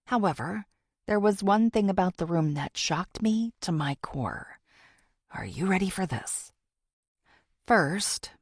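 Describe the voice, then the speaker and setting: Creaky, dramatic female true-crime podcaster, acted by a woman. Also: lowish voice, darkish tone, dry recording, studio quality, full character voice. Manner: confiding, theatrical, deliberate pauses.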